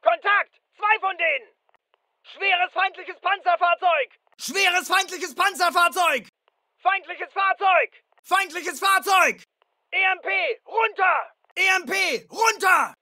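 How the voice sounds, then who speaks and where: Hoch schreienden, drängenden Soldaten. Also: male voice, very high-pitched, slow, bright, forceful tone, dry recording, clean studio sound, full character voice. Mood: alarmed, urgent. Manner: clipped, commanding, urgent. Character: alert male soldier barking urgent battlefield callouts. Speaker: voice actor in a dubbed military shooter. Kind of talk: military combat callouts, short barked lines.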